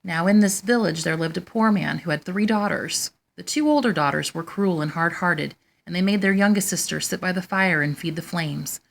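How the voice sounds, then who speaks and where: A woman hosting storytime, reading a children's picture book aloud in a measured, expressive way. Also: fairly low pitch, creaky. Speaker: storytime host and reader.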